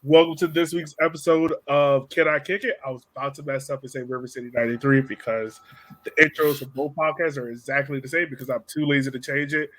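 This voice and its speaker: A man's voice: medium pitch, steady tone, fast, a bit bright, poor audio. A man hosting a casual sports podcast, chatting in an informal, slangy way. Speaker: podcast host.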